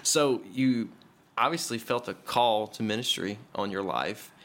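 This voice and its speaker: A man's voice: fairly low pitch, measured pace, a bit bright. A young adult man from Memphis, hosting a podcast in a chatty, joking way. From Memphis, USA. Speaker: podcast host. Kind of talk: casual podcast chat.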